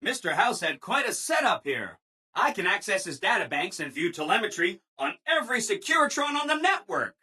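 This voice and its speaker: Game robot assistant: bright, very animated, ingratiating, relentlessly upbeat people-pleaser. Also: male voice, high-pitched, brisk, slightly rough, exaggerated voice. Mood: upbeat, eager. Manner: chipper, ingratiating, emphatic, apologetic people-pleaser. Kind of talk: scripted video game dialogue.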